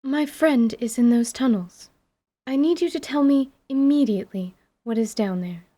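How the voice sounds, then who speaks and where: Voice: medium pitch, moderate pace, mellow, voice pushed up, close to an everyday voice. A woman voicing an earnest, formal surgeon with a polite, precise way of talking in a fantasy drama. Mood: urgent.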